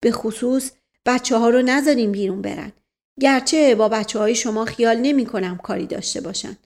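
A woman's voice: on the high side, a little mellow, natural voice. A woman voicing a resigned, pleading family man with a polite, courteous manner in a story. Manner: polite, pleading, proverb-quoting.

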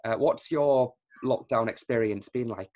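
A man's voice: mid-range voice, measured pace, a little mellow, dull audio. Man hosting a video-call interview. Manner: friendly, filler-heavy questioning. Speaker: recruiter and podcast host.

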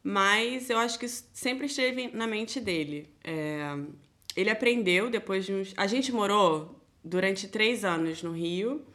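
A young woman from Rio de Janeiro speaks with a Carioca accent. Her voice is medium in pitch, and she talks informally as one of a podcast's hosts, in the manner of a chat between friends. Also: unhurried, fairly bright tone. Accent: Carioca Brazilian. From Brazil, Rio de Janeiro. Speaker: podcast co-host. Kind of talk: casual chat.

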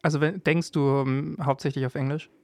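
A woman's voice: low voice, fairly even intonation, fairly quick, a little mellow, no echo. A woman hosting a podcast, asking eager, curious follow-up questions in casual chat. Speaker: podcast host.

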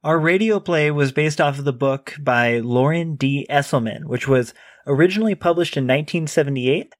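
A man's voice: medium pitch, no room sound. Chatty male podcast host, dry close mic. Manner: chatty, lots of fillers and restarts. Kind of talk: casual book chat.

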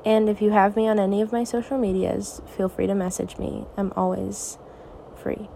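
A teenage girl hosting her own podcast, talking softly and reassuringly in a raspy, tired voice late at night. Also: medium pitch, flat delivery, moderate pace, slight background noise. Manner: soft, reassuring, hesitant. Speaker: podcast host. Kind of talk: personal podcast monologue.

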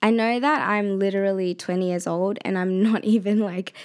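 A woman's voice: medium pitch, steady tone, normal speed, a little mellow. Chatty twenty-year-old woman, laughing often. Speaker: vlogger and university student. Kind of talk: casual personal vlog talk.

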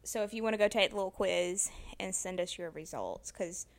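Young woman co-host, relaxed and chatty, a mid-range voice. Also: mid-range voice, a little fast, gravelly. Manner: relaxed, chatty, swears casually. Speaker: podcast co-host. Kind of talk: casual chat.

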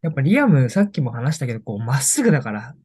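A man chats casually as co-host of a podcast. He backs his partner with frequent short agreements and then offers his own opinion. His voice sits at an ordinary pitch for a man. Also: very fast, breathy edge. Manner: attentive listener, steady stream of short agreeing replies. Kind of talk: casual chat about music.

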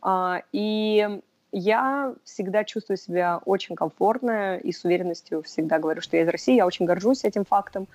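A woman's voice: medium pitch, normal speed, darkish tone, no room sound. A young woman from Russia, a podcast guest, talking in a thoughtful, casual way with many fillers. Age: young adult. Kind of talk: casual interview talk.

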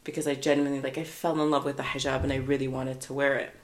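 A young woman from Canada chatting as a podcast guest, her voice low and gravelly with a chatty, filler-heavy manner. She laughs easily. The room around her rings with echo. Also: flat delivery, quick pace. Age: 20s. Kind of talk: casual podcast chat.

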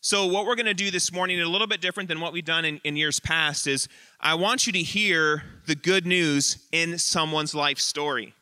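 A man with a high, very bright and forceful voice delivers a sermon in short, earnest, emphatic phrases, pausing between lines. The sound is dry and close, with little room around it. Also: steady tone, clear, resonant tone, no room sound. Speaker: lead pastor. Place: church sanctuary.

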